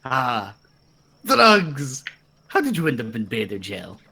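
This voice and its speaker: Man as a husky, dim goblin captive. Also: medium pitch, wildly swooping pitch, bright, forceful tone, full character voice. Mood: puzzled, curious. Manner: simple broken phrasing, slow.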